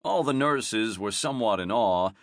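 A man's voice: fairly low pitch, lively intonation, moderate pace, no room sound. A man reading a first-person memoir aloud in a wry, self-mocking storytelling manner, close to a studio mic. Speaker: audiobook narrator.

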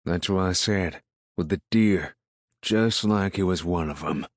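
A man voicing a gruff, ill-tempered rural farmer in an audiobook, grumbling in folksy, ungrammatical country speech. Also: sits low, moderate pace, dry recording, studio quality, lightly acted. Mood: indignant. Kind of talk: audiobook character dialogue.

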